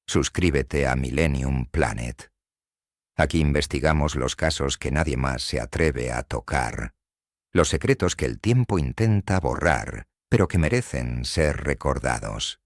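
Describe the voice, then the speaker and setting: An extremely deep-voiced man with a Mexican accent tells a horror story as a scripted narration. His delivery is grave and full of suspense. The sound is dry, with no room around the voice. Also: no echo.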